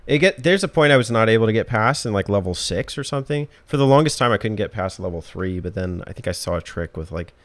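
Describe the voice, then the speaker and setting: A man's voice: on the low side, expressive, fast, hardly any pauses. A man hosting a podcast, chatting about video games in a laid-back, informal way. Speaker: podcast host.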